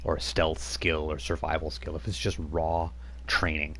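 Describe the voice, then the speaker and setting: A man's voice: a bass voice, level tone, measured pace, a bit bright, slight background noise. A man acting as game master, casually explaining tabletop rules step by step.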